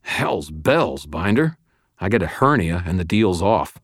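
A man's voice: sits low, big pitch swings, unhurried, no room sound, full character voice. A man playing a dry, tough, wisecracking detective in an audiobook, with a casual, sardonic way of talking.

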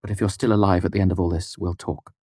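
A man voicing a blunt, sarcastic, proud small woman with a curt, sharp manner, as in an audiobook. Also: low-pitched, little pitch movement, fast, husky, dry recording, studio quality. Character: sardonic, blunt, foul-mouthed small woman with fierce pride. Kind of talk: audiobook character dialogue.

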